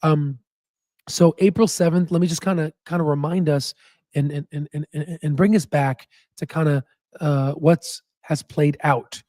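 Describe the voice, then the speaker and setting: A man who hosts a stock market channel, hoarse with his voice nearly gone, talking in a casual rambling way with many fillers and restarts. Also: fairly high pitch, fairly even intonation, a little slow, darkish tone, no echo, clean studio sound. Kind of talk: casual chat and stock analysis.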